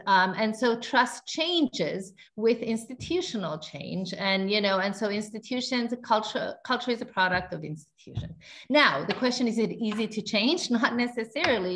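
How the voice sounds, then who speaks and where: A woman's voice: fairly high pitch, fairly bright tone. A woman from Finland in her forties, a researcher answering a panel question over a video call, fluent and explanatory with light fillers. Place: indoor room. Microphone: video call webcam.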